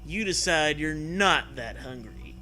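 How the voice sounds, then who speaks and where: A man's voice: a little high, expressive, takes it slowly, bright, forceful tone, a little hiss or hum. A man reading a story aloud for comedy, with jokey, profane riffs and a theatrical delivery. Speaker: host reading a choose-your-own-adventure story aloud. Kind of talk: comedic read-aloud with casual riffing.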